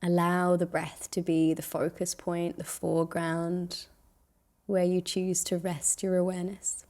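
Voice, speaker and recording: A woman guides a meditation with a mellow, relaxed tone. Her pitch sits slightly low and stays very even. She speaks gently and soothingly, leaving long pauses between phrases.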